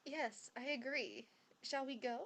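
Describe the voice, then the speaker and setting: Woman playing a high-voiced, anxious schoolgirl witch. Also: unhurried. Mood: worried. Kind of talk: hobby audio drama acting. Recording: very quiet, faint background noise.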